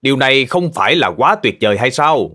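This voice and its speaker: Cartoon farm owner, played by a man: friendly adult, animated delivery, gently explains facts to kids. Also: mid-range voice, a little fast. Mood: friendly, instructive. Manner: patient, explanatory, addressing children gently. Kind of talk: children's cartoon dubbing, educational.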